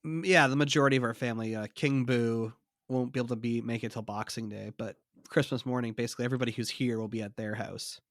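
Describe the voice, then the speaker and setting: A man's voice: medium pitch, fairly even intonation, quick pace, fairly bright tone, no echo, clean studio sound. A man with a stuffy nose from a cold, co-hosting a podcast in casual chat and sharing trivia with a self-deprecating humor. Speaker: podcast co-host.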